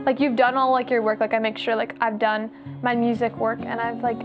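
A woman with a somewhat high, slightly hoarse voice leads a relaxed faith conversation. She rambles in a chatty way, stacking "like" through her sentences. Plucked guitar music plays clearly under her voice. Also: fairly quick, muffled recording. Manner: chatty, fills speech with "like". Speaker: host. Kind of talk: casual faith discussion.

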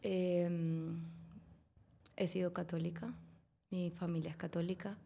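A woman speaks low, her voice often dropping into a gravelly fry. She talks casually and haltingly, pausing on fillers as she recounts her own story as a guest. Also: little pitch movement, warm tone. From Venezuela. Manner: hesitant, frequent fillers. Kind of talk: casual personal testimony.

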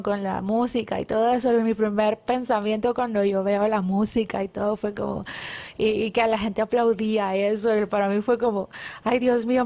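Guatemalan woman sharing faith testimony. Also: fairly high pitch. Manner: warm devout storytelling with many fillers and restarts. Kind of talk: casual testimony interview.

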